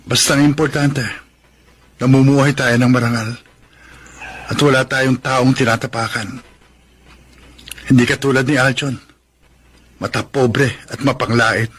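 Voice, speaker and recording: An actor playing a poor, proud, heartbroken young farmer and jealous lover in a Filipino radio drama, earnest and melodramatic. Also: male voice, medium pitch, flat delivery, a little slow, long pauses, fairly bright tone, husky. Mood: earnest, resigned. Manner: melodramatic, earnest, self-pitying. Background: background music.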